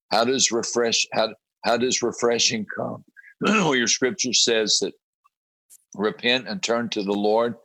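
A man, a pastor, with a raspy voice after a late night, talking in halting conversation, often repeating words and clearing his throat. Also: fairly low pitch, fairly bright tone, dry recording, low-quality recording. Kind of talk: conversational interview about a prayer group.

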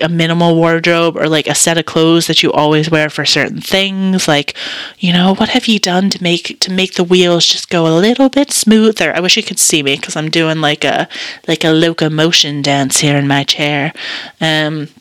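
A woman hosting a casual solo podcast check-in, chatty and self-deprecating, slightly raspy from a sore throat. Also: low voice, fairly even intonation, moderate pace, a bit bright, no echo. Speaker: podcast co-host.

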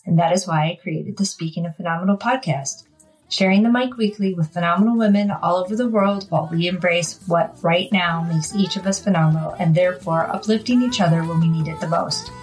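A woman's voice: fairly low pitch, fairly quick, faint music behind, rough recording. A woman hosting a podcast, reading an upbeat intro and interviewing a guest with enthusiasm. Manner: enthusiastic, warm praise of guest. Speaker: podcast host.

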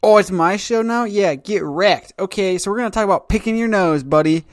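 A fairly high-voiced man hosts a podcast, teasing his co-host and joking in an animated, loose way. His voice sounds dry and close-miked. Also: a little fast, dry recording. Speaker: podcast host, comic creator. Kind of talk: casual chat.

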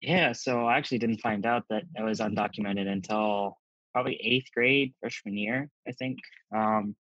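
A man's voice: lowish voice, moderate pace, fairly bright tone, no room sound, muffled recording. A young man from Zambia raised in the Midwest, talking easily in a casual interview with lots of "you know". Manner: easygoing, many fillers like "you know".

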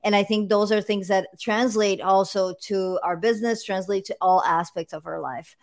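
A woman's voice: medium pitch, steady tone, moderate pace, darkish tone. A woman hosting a podcast, asking long rambling questions in a chatty way and saying "like" often. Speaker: podcast host. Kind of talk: interview questions, casual chat.

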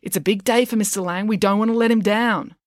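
A woman's voice: mid-range voice, steady tone, fast talker, no echo, clean studio sound, voice pushed up, lightly acted. Woman voicing a wry, pedantic male schoolteacher. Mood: urging. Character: weary, drily amused male schoolteacher. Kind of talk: children's storybook character voice.

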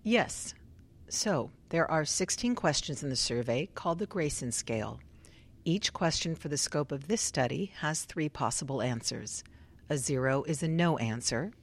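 An actress plays a composed woman doctor running a study in a radio drama. Her voice is low for a woman, and her manner is formal, polite and methodical, as if working through procedure. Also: moderate pace. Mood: procedural.

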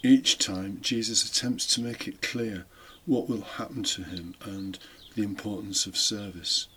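A man's voice: fairly low pitch, steady tone, measured pace, fairly bright tone, slightly rough. A British man giving an informal, reflective homily, explaining things with conversational asides. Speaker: homily podcast host, deacon. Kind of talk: informal homily, partly scripted. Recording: faint background noise.

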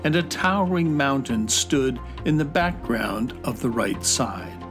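Slow, measured male storybook narrator. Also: mid-range voice, expressive, music in the background.

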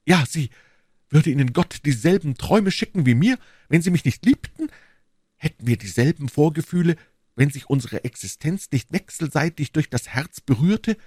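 A man's voice: a little high, expressive, moderate pace, darkish tone, husky, dry recording, studio quality, pitched above the performer's own voice. A man voicing a passionate, lovestruck English duke from a classic novel, pleading and fatalistic in an ardent, courtly, declamatory manner.

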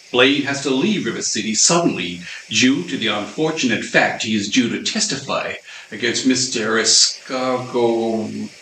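A man's voice: medium pitch, bright, forceful tone. A man narrating a scripted old-time style radio serial as its hammy announcer. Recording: echoey, distant, faint music behind.

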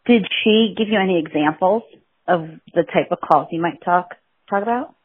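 A woman's voice: on the low side, normal speed, breathy edge. A woman hosting a casual interview podcast, teasing and laughing often. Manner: giggly, teasing. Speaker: podcast host. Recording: tinny phone-line sound.

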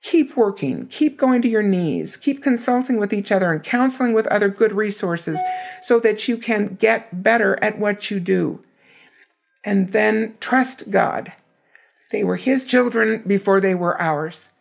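Hoarse woman teaching scripture, gravelly. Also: medium pitch, a little slow. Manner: earnest, emphatic. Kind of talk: scripture lesson.

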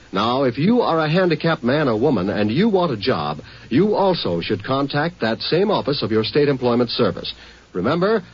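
A man's voice: medium pitch, husky. A man delivering an earnest, scripted public service appeal in emphatic 1950s radio style. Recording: dull vintage radio recording.

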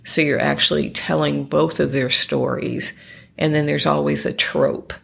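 A woman's voice: deep voice, steady tone, measured pace, vocal fry, fairly bright tone, husky. A woman hosting her podcast alone, sounding congested and nasal as she recovers from illness, rambling with many ums and restarts. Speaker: podcast host. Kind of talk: casual solo podcast talk.

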